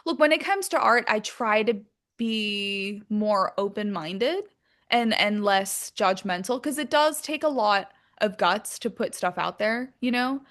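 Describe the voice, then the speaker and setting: A woman's voice: mid-range voice, expressive, unhurried, a bit bright. A woman chatting casually as an interview guest, candid and informal, swearing freely and using lots of filler likes. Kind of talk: casual chat.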